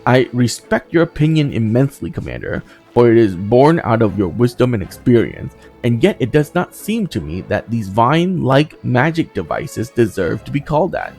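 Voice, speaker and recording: Guy doing a proper noble knight from a fantasy rpg, earnest and courtly, pitch moves around a lot, music behind. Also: big pitch swings, moderate pace, vocal fry, hardly any pauses, darkish tone, husky, exaggerated voice. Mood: pained dignity. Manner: ornate, courteous, solemn phrasing. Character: formal, noble, earnest crusader knight, wounded dignity.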